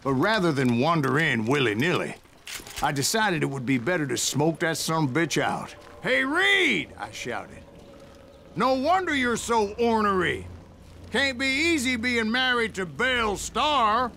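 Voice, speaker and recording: Gravelly old Western gunslinger. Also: male voice, high-pitched, sing-song, sharp tone, slight background noise, full character voice. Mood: loud taunting. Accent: American Western drawl. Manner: wry, folksy, deliberate. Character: weathered old gunslinger bounty hunter telling his tales, vengeful, wry. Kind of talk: dramatic storytelling in a Western video game. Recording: music behind the voice.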